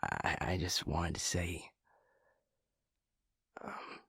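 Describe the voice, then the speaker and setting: A man playing a husky, deep-voiced, earnest young man. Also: steady tone, a bit bright, dry recording, clean studio sound, exaggerated voice. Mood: tender, sincere. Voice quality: husky, breathy, low murmur. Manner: halting, hesitant, stammering. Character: earnest, vulnerable young man, loyal pack second-in-command. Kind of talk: intimate audio roleplay drama.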